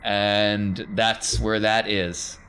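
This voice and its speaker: A man's voice: lowish voice, level tone, drawn-out words, bright, forceful tone, smooth. A man acting as game master, narrating and refereeing a tabletop role-playing game with a theatrical touch. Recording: faint background noise.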